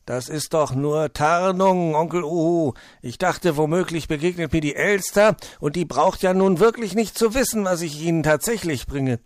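A man's voice: a little high, moderate pace, few pauses, dry recording, studio quality, pitched above the performer's own voice, lightly acted. A man voicing a friendly, sly fox from a story, polite, cheery and coaxing. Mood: sly, confiding. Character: friendly, brisk, sly male fox, solicitous neighbour.

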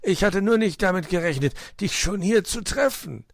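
A man's voice: high-pitched, animated, normal speed, no echo, clean studio sound, pitched above the performer's own voice. A man voicing a sly, work-shy uncle fox who talks glibly and patronisingly in a children's story. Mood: flustered, coaxing. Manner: glib, exclaiming, patronising.

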